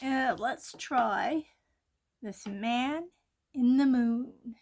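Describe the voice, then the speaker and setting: A woman's voice: on the high side, extremely slow, darkish tone. A woman hosting an art channel, chatting casually while she sketches, a little congested from allergies and often dropping to a near-whisper.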